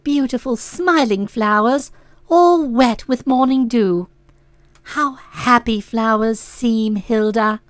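A woman's voice: fairly high pitch, slow pace, no echo, pitched below the performer's own voice. A woman voicing a gushing, sentimental young woman with a soupy, trickly, tremulous voice. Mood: dreamy.

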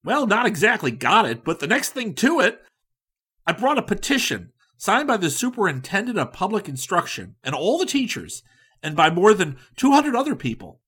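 A man voicing an eager, pushy, boastful man in a hurry who talks in an excited, loud rush. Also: high-pitched, normal speed, slightly rough, pitched above the performer's own voice, full character voice.

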